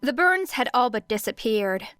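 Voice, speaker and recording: A woman narrating fiction as an audiobook, with expressive storytelling. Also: on the high side, moderate pace, clear, resonant tone, no room sound.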